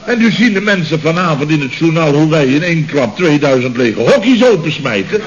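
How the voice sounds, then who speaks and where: An exasperated male boss in an old radio comedy, blustering with heavy sarcasm in a fairly high voice. The recording is muffled, with clear noise. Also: very animated, brisk. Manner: blustering, incredulous, heavily sarcastic. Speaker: comedy actor. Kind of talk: 1970s Dutch radio comedy acting.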